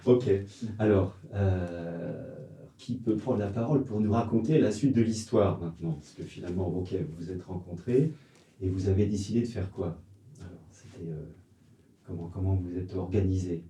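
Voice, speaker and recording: Male interview host, playful and drawn-out delivery, low dark voice, echoey room, far from the mic. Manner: playful, drawn-out, joking questions. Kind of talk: casual interview hosting. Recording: roomy and distant off-camera mic.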